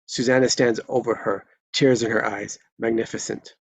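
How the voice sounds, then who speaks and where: Gravelly, husky man narrating a screenplay. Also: medium pitch, fairly even intonation, moderate pace, no echo. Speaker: stage directions reader. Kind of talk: scripted narration at a live table read.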